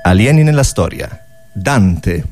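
A man announces radio comedy sketch titles. His delivery is deadpan and scripted, lowered in pitch and unhurried. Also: music in the background.

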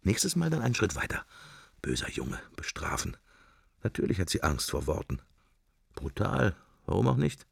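Deep, gravelly, brooding daydreamer. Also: male voice, huge pitch swings, moderate pace, no echo, studio quality, full character voice. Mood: wry, calculating. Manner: musing, fragmentary, murmured. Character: brooding middle-aged married man, furtive, sensual, drifting thoughts. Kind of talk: radio drama interior monologue.

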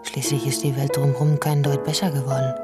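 An actress playing a sardonic, disillusioned lone activist in a radio drama, talking to herself in a dry, brooding way. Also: female voice, extremely low pitch, flat delivery, a little fast, loud music behind the voice. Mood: bitter, sardonic.

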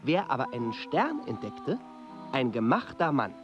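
A man's voice: medium pitch, big pitch swings, normal speed, dull audio, music in the background, lightly acted. An actor playing a son who tells his father's life story in a radio drama. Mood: wry, storytelling.

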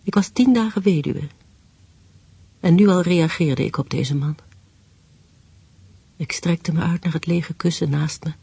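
Grieving widow, radio play, very husky, subdued, intimate, dry sound. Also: female voice, low voice, dark tone, no echo, exaggerated voice. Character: recently widowed woman, hospitable, grieving, guilt-ridden. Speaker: actress and first-person narrator. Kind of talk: radio play acting and narration.

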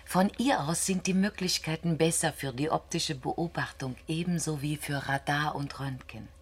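An ambitious young scientist bares her thoughts in a science fiction radio play. Her voice is low and husky, and she answers candidly, with halting introspection. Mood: dutiful, factual. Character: ambitious young woman astronomer under interrogation, candid.

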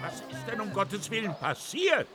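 A man's voice: sits high, big pitch swings, normal speed, sharp tone, soft background music, heavily acted. An actor playing a brusque, alarmed male castle caretaker in a children's radio play.

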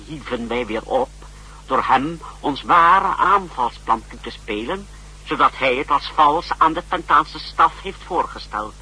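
A man's voice: mid-range voice, pushed and bright. A man acting a deferential, defensive secret-service official in a 1960s radio drama, with formal, obsequious manners. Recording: noisy, humming old radio recording.

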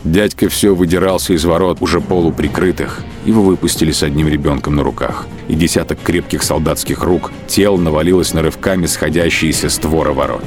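A man narrating a literary radio drama in a measured, grave storytelling manner. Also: rumbling low, steady tone. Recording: music bed behind the voice.

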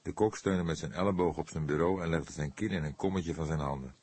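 Deep, husky male storyteller. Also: a little fast, darkish tone, no room sound. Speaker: audiobook narrator. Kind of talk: expressive scripted narration of a crime novel.

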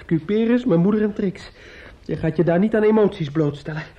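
Bitter, menacing nephew, muffled radio play. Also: male voice, sits high, warm tone. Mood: agitated, forceful. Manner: halting, repetitive, sneering and insistent. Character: bitter, menacing, grief-stricken nephew taunting his aunt. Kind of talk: Dutch radio play acting. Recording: muffled, dull old radio recording.

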